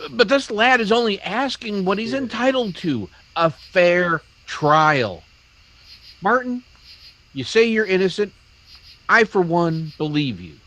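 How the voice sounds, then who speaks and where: A man's voice: high-pitched, lively intonation, takes it slowly, bright, forceful tone, full character voice, poor audio. A man playing an earnest townsman in a radio western, pleading and a little hesitant. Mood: earnest reasoning. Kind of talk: old-time radio drama acting.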